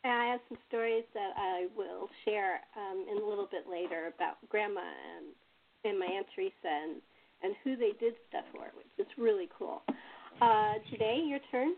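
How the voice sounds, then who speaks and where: A woman's voice: medium pitch, normal speed, gravelly. A woman hosting a casual chat show, speaking informally with guests. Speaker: host. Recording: thin, phone-like line.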